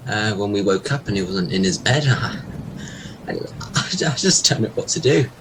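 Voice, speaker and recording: A man's voice: lowish voice, animated, normal speed, noisy background, natural voice. A man playing an anxious, hesitant man worried for a missing friend in an audio drama. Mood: distraught.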